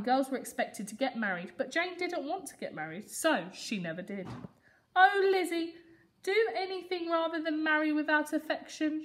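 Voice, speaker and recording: A woman's voice: high-pitched, fairly bright tone. A young woman reading stories aloud to young children like a teacher, expressively and with explanatory emphasis. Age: young adult. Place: school classroom reading corner, indoors.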